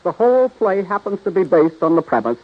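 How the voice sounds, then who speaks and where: An actor playing a long-suffering playwright in a 1930s radio comedy, formal, hesitant and drily exasperated. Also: male voice, high voice, big pitch swings, brisk. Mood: exasperated protest. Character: polite, flustered, long-suffering male playwright. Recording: dull vintage 1930s radio recording.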